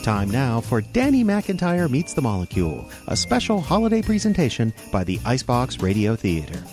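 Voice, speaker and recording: Casting: male narrator for a kids' radio serial, medium pitch, very animated storytelling, jingle-bell music underneath. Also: normal speed. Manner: storyteller, addressing young listeners directly.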